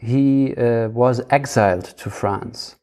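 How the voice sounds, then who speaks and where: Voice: medium pitch, slow pace, dark tone. A person in a casual intellectual conversation, hesitant and searching for words. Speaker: panel discussion participant.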